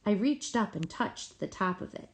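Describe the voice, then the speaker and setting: A woman reading a children's story aloud in an expressive, deliberate way with pauses between phrases. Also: mid-range voice, breathy edge. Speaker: storybook reader.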